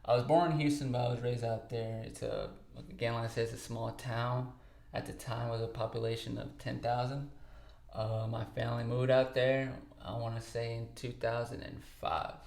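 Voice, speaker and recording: Easygoing young man with gravelly voice. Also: medium pitch, level tone, normal speed, a little mellow, reverberant. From Texas, United States. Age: 20s. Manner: talkative, easygoing, many fillers. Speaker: podcast co-host and guest. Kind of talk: casual interview chat.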